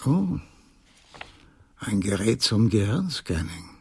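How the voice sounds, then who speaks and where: An actor playing an ageing, sardonic scientist in a German radio play, measured and dryly ironic. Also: male voice, lowish voice, sing-song, slightly rough, heavily acted. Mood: dry irony. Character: ageing, sardonic, lonely scientist, hospitable and resigned.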